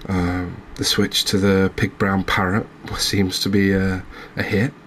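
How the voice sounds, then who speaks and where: A man giving relaxed ASMR commentary over a fishing video game, chatting to viewers and often checking in with tag questions. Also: sits low, a little slow, slight background noise. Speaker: gaming video host.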